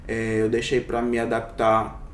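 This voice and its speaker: A man's voice: completely flat delivery, unhurried, distant mic. A young adult man from Brazil answering interview questions as a doctor, reflective and hesitant, with many fillers and restarts. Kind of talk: casual interview. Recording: echoey room, somewhat off-mic, faint rumble.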